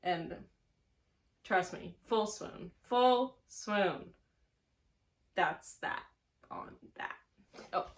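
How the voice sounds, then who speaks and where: Unhurried, playful young-sounding woman vlogger. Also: medium pitch. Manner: playful, giggly and self-mocking. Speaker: book reviewer on a video channel. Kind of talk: casual chatty vlog to camera.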